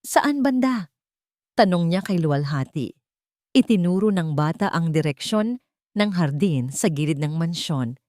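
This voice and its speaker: Low-voiced woman narrating a dramatic story. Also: moderate pace, darkish tone, dry recording, studio quality.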